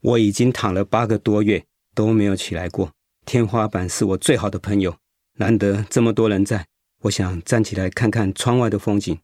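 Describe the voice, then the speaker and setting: Radio drama: sick young man played by a male actor, lowish pitch, despairing, stubborn, close dry sound. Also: steady tone, a little fast, a little mellow, no echo, close to an everyday voice. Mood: wistful. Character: bedridden young cancer patient, despairing but stubborn man.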